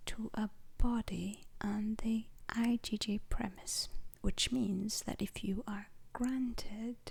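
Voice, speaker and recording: A woman whispering an ASMR roleplay as a calm, formal instructor, deliberate and pausing between short phrases. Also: mid-range voice. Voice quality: breathy, unvoiced whisper. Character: calm, formal instructor briefing space crew trainees.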